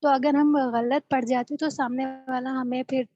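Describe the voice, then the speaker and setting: Earnest high-voiced female student, lo-fi. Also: little pitch movement, measured pace, warm tone. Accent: Pakistani Urdu-speaking. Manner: earnest, apologetic, talks at length about her struggles. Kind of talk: casual conversation with tutor.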